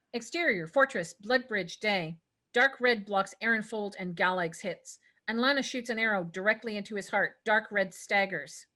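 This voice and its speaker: A woman's voice: mid-range voice, normal speed, sharp tone. A woman narrating stage directions at a scripted table read, occasionally stumbling over words.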